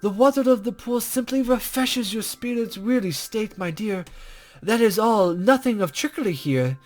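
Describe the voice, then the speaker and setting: A man voicing a cryptic, serene female guide to the afterlife from a visual novel, measured, formal and gently condescending. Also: sits high, animated, pitched above the performer's own voice. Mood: gently reassuring. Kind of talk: character reading from a visual novel.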